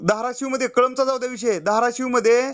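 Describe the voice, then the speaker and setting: A man's voice: very high voice, fairly even intonation, fast talker. A man making a heated, insistent, pleading complaint on a recorded phone call. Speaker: party youth-wing office bearer and activist.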